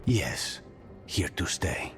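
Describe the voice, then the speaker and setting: A man playing a grim, loyal assassin in a fantasy game, restrained, with a breathy edge. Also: very slow, in a whisper, over music. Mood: grim, brooding. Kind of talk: dramatic video game acting.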